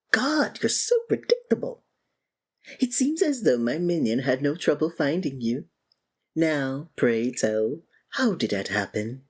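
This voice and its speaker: A woman acts out a supervillain in an ASMR audio drama, weary yet theatrical. The voice sits low and husky and moves at an unhurried, slow pace. The delivery is sarcastic and taunting, a grand monologue to a captive rival. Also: a little mellow, full character voice. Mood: smug, taunting. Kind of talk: scripted ASMR roleplay acting.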